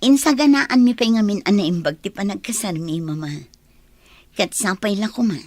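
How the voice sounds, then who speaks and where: A woman's voice: medium pitch, lively intonation, normal speed, a little mellow, no room sound, lightly acted. An actress playing a firm young woman who is engaged, in an Ilocano radio drama. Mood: hopeful, affectionate.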